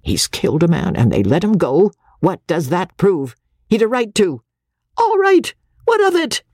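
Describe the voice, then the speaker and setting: A squeaky, enraged old showman. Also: huge pitch swings, unhurried, no echo, studio quality, exaggerated voice. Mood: raging. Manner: sputtering, shouting in fury. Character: raging older river showman, squeaky falsetto.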